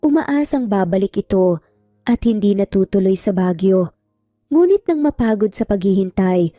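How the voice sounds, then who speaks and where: A woman's voice: medium pitch, mellow, dry recording, studio quality. A woman narrating a scripted romance story in a dramatic storytelling style. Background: background music.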